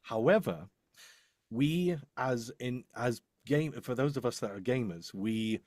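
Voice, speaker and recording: A man's voice: mid-range voice, animated, slow, darkish tone, no echo, clean studio sound. A man chatting casually and explaining his work, hesitant and rambling as he thinks aloud. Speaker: voice-over demo producer.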